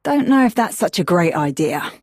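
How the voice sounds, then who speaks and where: A woman playing a wary, sharp-tongued companion in a video game, blunt and skeptical. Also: lowish voice, big pitch swings, normal speed, no echo, studio quality. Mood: wary, wry.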